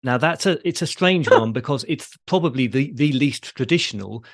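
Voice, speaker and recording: A British man hosting a podcast, explaining things in a measured, conversational way. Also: mid-range voice, animated, dry recording, clean studio sound. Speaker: podcast host.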